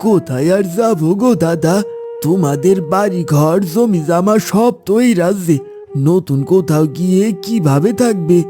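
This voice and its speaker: A man's voice: high voice, lively intonation, normal speed, music in the background. A man voicing a worried, plaintive villager in a cartoon folk tale. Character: worried, aggrieved village men of a drought-struck kingdom.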